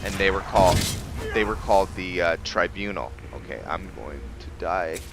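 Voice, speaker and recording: A man's voice: sits low, normal speed, heavy background noise. A man giving casual, rambling let's-play commentary as a gaming host.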